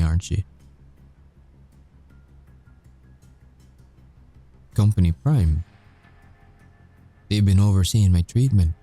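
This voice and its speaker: Guy acting as a werewolf soldier, real mellow dark low voice, wry and haunted, slow dramatic pauses, asmr roleplay. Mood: grave, measured. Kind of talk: audio roleplay monologue, ASMR.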